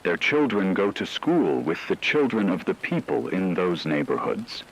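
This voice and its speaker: A man playing a grave radio announcer, reading scripted news in a measured, formal way. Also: low-pitched, sing-song, a little hiss or hum, poor audio. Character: grave male radio announcer reporting on global collapse.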